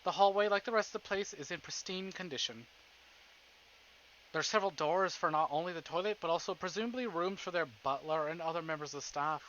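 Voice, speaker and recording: Guy streamer narrating a visual novel, bright highish voice, kinda theatrical, slightly muffled audio. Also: fairly quick, slight background noise. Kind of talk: dramatic read-aloud of a visual novel with casual asides.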